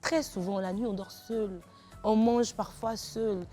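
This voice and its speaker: Woman, talk show guest, emphatic advice, mid-pitched voice. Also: fairly even intonation, measured pace, mellow, soft background music. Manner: emphatic, giving heartfelt advice.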